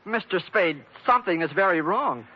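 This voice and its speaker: An actor playing a meek, fretful bank clerk, hesitant and earnest, in an old radio drama. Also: male voice, sits high, animated, normal speed. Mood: earnest, worried. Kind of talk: 1940s radio comedy-drama acting. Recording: muffled old radio recording.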